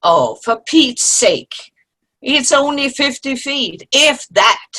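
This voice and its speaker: Woman acting sarcastic older lady, lively pitch. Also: slow, heavily acted. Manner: dry, sarcastic, needling. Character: sarcastic, exasperated older lady friend, sharp-tongued. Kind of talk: play reading. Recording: video call play reading.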